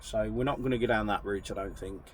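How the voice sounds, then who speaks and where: A man with a croaky voice from a chest infection, chatting casually to camera as a truck driver vlogger, rambling and apologetic. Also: on the low side, fairly even intonation, fast talker, a little hiss or hum, poor audio.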